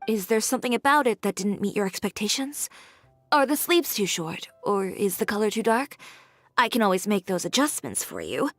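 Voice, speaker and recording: A woman's voice: mid-range voice, big pitch swings, moderate pace, dry recording, faint music behind. A woman playing a poised young fashion designer in a video game, speaking in a composed, direct, businesslike way. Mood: polite, probing. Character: poised, frank young female fashion designer and boutique owner.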